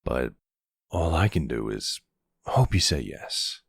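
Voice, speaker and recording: A man playing a smug, flirtatious middle-aged mafia boss in an ASMR roleplay drama, sweet-talking and gravelly. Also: rumbling low, sing-song, slow, no room sound, clean studio sound, full character voice. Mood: tender, flirtatious.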